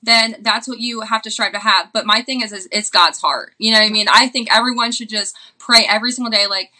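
Earnest woman with a very bright, forceful voice. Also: a little high, fairly even intonation, fairly quick. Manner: earnest, impassioned, repetitive phrasing.

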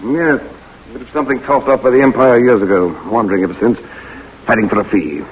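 An actor playing a world-weary English soldier of fortune with an English accent, clipped and nonchalant, in 1940s radio drama style. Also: male voice, medium pitch, brisk, a little hiss or hum, natural voice, rough recording. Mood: wry, weary.

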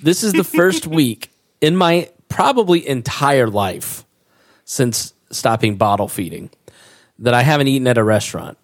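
A man's voice: medium pitch, sing-song, a little slow. A man hosting a podcast in casual chat, telling self-mocking stories while getting over a cold. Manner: joking, self-mocking storyteller. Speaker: podcast host. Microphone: studio mic.

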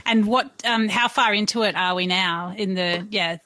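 Woman podcast host; bright voice, curious interviewer. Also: medium pitch, normal speed, dry recording. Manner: friendly, curious questions.

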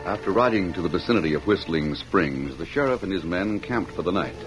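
A man's voice: low-pitched, expressive, fairly quick, poor audio. A man narrating an old-time radio western in a polished, theatrical storytelling style. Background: music.

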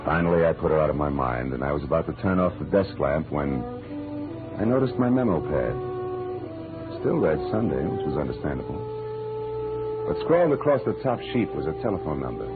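An actor playing a tough, wry, world-weary American private detective in a sardonic, clipped, deadpan 1940s radio style. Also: male voice, very deep voice, a little fast. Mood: weary, reflective. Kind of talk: 1940s radio acting, hard-boiled first-person narration. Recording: tinny vintage radio broadcast, music behind.

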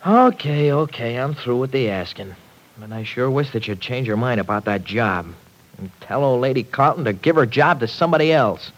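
An actor playing a brash, skeptical young working man in an old-time radio serial, slangy, folksy and excitable. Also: medium pitch, normal speed, lightly acted, poor audio. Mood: resigned, concerned. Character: brash, folksy young dairy worker, skeptical, protective boyfriend. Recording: vintage radio drama recording.

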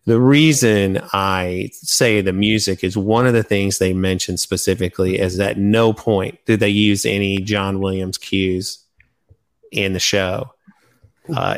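A man chatting casually about fan topics as a podcast host. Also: low-pitched.

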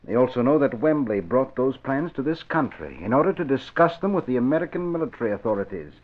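A man's voice: medium pitch, lively intonation, fast, lightly acted. An actor playing a suave, intense, calculating male spy with precise, formal diction in a vintage radio drama. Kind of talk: 1950s radio drama acting. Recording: thin, phone-like vintage radio recording.

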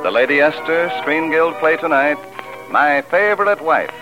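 Male 1940s radio announcer, medium pitch, very animated, music behind, vintage broadcast recording. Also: moderate pace, rough recording.

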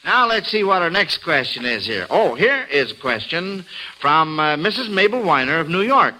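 A man's voice: a little high, big pitch swings, moderate pace, pushed and bright, rough recording. A man with an American accent hosting a classic radio quiz show, with folksy, chatty patter for kids and listeners. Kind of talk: classic mid-century radio hosting, part scripted and part ad-libbed.

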